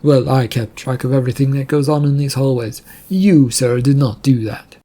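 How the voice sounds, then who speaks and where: A man's voice: mid-range voice, moderate pace, warm tone, close to an everyday voice. A man voicing an evasive, nagging ship's computer in an audio story, with a hesitant, insinuating way of talking. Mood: pressing.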